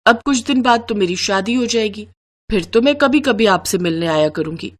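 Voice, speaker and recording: A woman's voice: medium pitch, animated, normal speed, close to an everyday voice. A woman voicing a sweet, caring young bride who speaks earnestly and respectfully, eager to please. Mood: affectionate.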